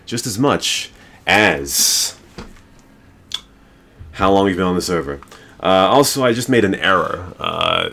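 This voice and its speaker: A man's voice: low voice, fairly bright tone. A male podcast host ranting in a rambling, profane and emphatic way. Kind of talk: casual podcast rant.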